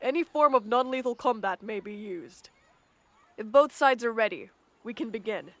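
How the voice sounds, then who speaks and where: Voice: medium pitch, lively intonation, normal speed, a bit bright, no room sound. A performer playing a commanding academy girl formally announcing duel rules in an anime-style audio drama.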